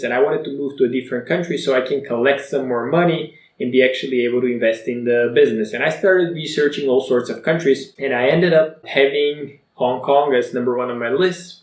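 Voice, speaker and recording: A man in his twenties from Bulgaria, whose first language is Bulgarian, telling his personal story to camera in an energetic, motivational, conversational way. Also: mid-range voice, fairly quick, hardly any pauses, reverberant. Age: 20s. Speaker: vlogger.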